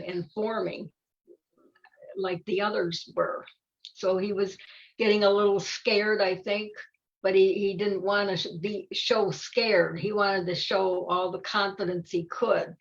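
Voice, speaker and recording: Older woman with a creaky voice. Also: medium pitch. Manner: halting, repeats words. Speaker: volunteer story reader. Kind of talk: informal book discussion. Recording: dull lo-fi audio.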